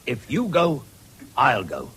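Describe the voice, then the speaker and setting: A solemn middle-aged gentleman farmer with a very husky, rough voice. His pitch moves a lot as he speaks earnestly, in the manner of a radio play. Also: huge pitch swings, takes it slowly, full character voice. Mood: solemn, resolved. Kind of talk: radio drama acting.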